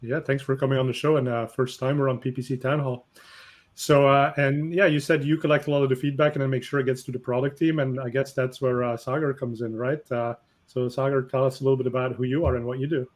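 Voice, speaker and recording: A man hosting a marketing webinar, talking in an energetic, conversational way with fillers. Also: mid-range voice, fast. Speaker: host.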